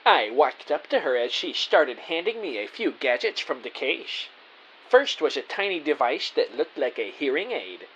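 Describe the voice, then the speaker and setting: A man's voice: fairly high pitch, big pitch swings, moderate pace, a bit bright, lo-fi sound, a little hiss or hum. A man playing an earnest, naive detective who narrates his own story in a scripted audio drama, with a theatrical delivery. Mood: earnest narrating.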